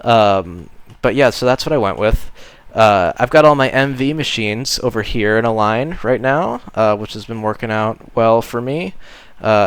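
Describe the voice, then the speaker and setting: A man casually narrating a tour of his game base, relaxed and rambling with frequent ums and uhs. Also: on the low side, clear, resonant tone, no echo. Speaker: modded game player giving a base tour.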